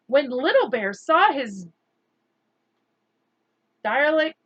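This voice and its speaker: Bright-voiced woman reading slowly to children. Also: high-pitched, dry recording, muffled recording. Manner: deliberate, expressive delivery addressed to children. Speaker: read-aloud storyteller for children. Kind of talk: children's chapter book read aloud.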